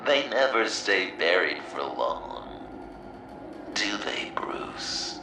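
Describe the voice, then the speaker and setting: An actor playing a manic, theatrical game villain who taunts the hero with macabre mockery, moving between hushed menace and gleeful shouts. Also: male voice, on the low side, sing-song, drawn-out words, whispered, exaggerated voice. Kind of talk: video game villain voice acting. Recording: echoey, distant, with loud music behind. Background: game music.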